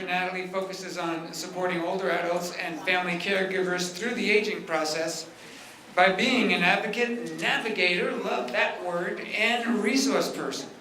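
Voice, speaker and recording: Guy emceeing a conference, easygoing, reads the intro, high voice, roomy echo. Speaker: conference host introducing speakers. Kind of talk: reading an introduction. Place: conference room. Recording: echoey room.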